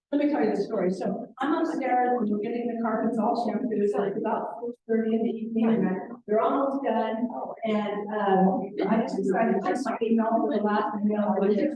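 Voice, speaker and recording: A woman's voice: a little high, fairly even intonation, moderate pace, very echoey, recorded from a distance, muffled recording, a little hiss or hum. A woman library director chatting through announcements, rambling with lots of fillers. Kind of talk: casual announcements and introduction. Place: library meeting room.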